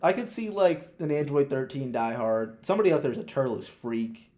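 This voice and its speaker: A man's voice: mid-range voice, lively intonation, brisk, warm tone. A young man co-hosting a podcast, chatting casually and giving opinions in emphatic, profane slang. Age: young adult. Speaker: podcast co-host. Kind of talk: casual chat, opinionated film ranking.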